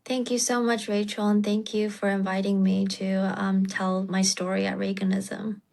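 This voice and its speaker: A woman in her thirties from China telling a personal story as an interview guest, informal and halting, often saying "like". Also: medium pitch, little pitch movement, gravelly, darkish tone. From China, partly United States. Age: 30s.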